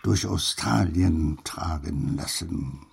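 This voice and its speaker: An actor playing a gruff old grandfather who tells fanciful tales in an audiobook, with a gravelly voice. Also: very deep voice, slow, exaggerated voice. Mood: wistful. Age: older. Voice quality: gravelly, husky, creaky. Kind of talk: acted audiobook dialogue.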